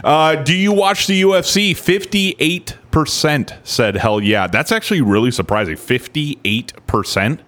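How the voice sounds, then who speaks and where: A man's voice: fairly high pitch, unhurried, hardly any pauses, a bit bright. A man co-hosting a casual comedy podcast, crude and teasing, swearing a lot, worn down by the heat. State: overheated and sweaty. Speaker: co-host.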